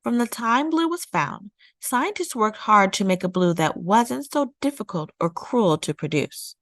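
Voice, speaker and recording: A woman narrating a children's nonfiction picture book as a storytime reader, with measured, deliberate phrasing. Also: mid-range voice, expressive, fairly bright tone, no room sound. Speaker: storytime read-aloud host.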